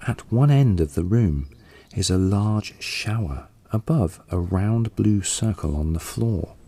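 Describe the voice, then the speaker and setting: A man narrating a scripted guided meditation in a calm, unhurried, soothing way. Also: lowish voice, slightly rough. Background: soft background music.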